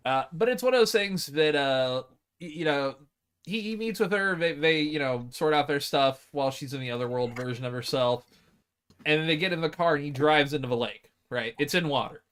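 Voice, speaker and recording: A man casually discussing a film with friends, talking in a halting, rambling and sweary way. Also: fairly high pitch, a bit bright, smooth. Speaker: podcast co-host.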